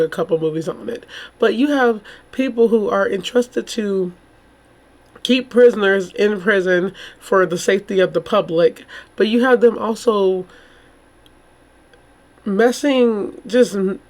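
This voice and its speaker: Female vlogger, slightly hoarse, casual and rambling, exclamatory commentary in an informal chatty style. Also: medium pitch. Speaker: YouTube true crime host.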